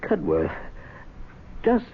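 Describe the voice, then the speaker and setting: An actor playing a fussy, credulous gentleman in a radio drama, earnest and hesitant in manner. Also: very slow, slight background noise. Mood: hesitant, curious. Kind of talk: 1970s radio drama acting. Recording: tinny, narrow old radio broadcast.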